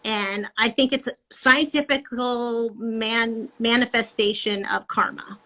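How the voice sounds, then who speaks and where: A woman's voice: on the high side, fairly even intonation, measured pace, pushed and bright, low-quality recording. A middle-aged woman, a guest artist, chatting casually and animatedly over a video call, laughing often as she talks. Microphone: handheld mic on a video call.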